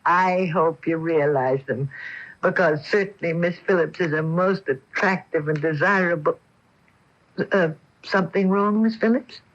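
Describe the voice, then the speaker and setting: A woman's voice: lowish voice, unhurried. Hesitant, very old landlady. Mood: gracious, evasive. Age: older. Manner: hesitant, courteous, fussy. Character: extremely old, particular, genteel landlady. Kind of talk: radio drama acting. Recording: dull lo-fi radio broadcast tape.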